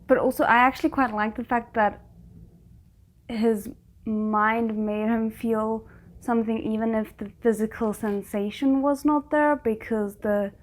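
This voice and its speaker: A woman with a slightly high voice talks casually through a reaction video. She is chatty and animated, quick to react aloud to what she sees. Her delivery is loose and conversational. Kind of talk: casual commentary while watching a series.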